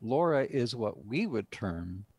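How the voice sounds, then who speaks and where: An older man, a writer and director, deliberately explaining his work with emphasis in an interview over a video call. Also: lowish voice, very animated, darkish tone, husky, dry recording. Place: indoor room on a video call.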